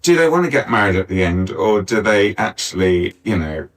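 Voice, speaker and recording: A man's voice: lowish voice, big pitch swings, moderate pace. A man speaking informally on a discussion panel, rambling into tangents. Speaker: panel speaker, pantomime scriptwriter. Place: arts centre venue, live panel. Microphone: distant backup recorder. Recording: distant mic backup live recording.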